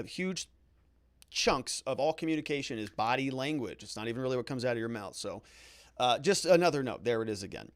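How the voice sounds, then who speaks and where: A man's voice: medium pitch, no echo. A man hosting a livestream of legal commentary, thinking aloud conversationally with hedges and repeated words for emphasis.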